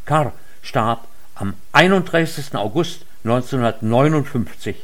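A man delivering a formal lecture in a measured, earnest way. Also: medium pitch, animated, a bit bright. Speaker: lecture speaker. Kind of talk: formal read speech.

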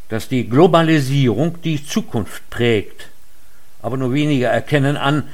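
A man delivering a formal lecture in a measured, earnest way. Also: medium pitch. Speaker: lecture speaker. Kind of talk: formal read speech.

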